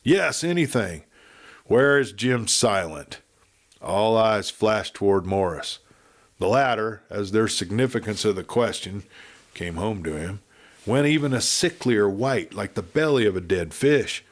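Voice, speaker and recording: A man narrating a Western audiobook with expressive, dramatic storytelling. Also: fairly low pitch, a little slow.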